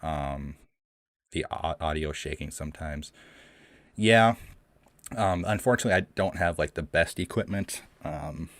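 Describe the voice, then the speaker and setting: A young man with a deep voice hosts a casual live Q&A, rambling with many ums and restarts, and his voice shakes a little from the cold. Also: unhurried, pauses often, fairly bright tone, dry recording. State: shaky voice from the cold. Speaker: streamer hosting a live Q&A. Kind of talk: casual livestream chat. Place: cold room at home. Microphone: home streaming mic.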